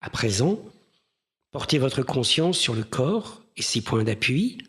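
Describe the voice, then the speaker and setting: A man leading a guided mindfulness meditation in a gentle, measured manner with pauses between phrases. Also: mid-range voice, big pitch swings, slightly rough.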